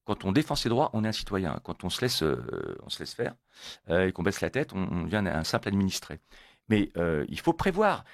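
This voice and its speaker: Fast-talking, animated Italian-born man. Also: low voice, creaky, no room sound, studio quality. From Italy. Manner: emphatic, animated, repeats words when pressed. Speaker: guest, motorcyclists' federation coordinator. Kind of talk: radio interview.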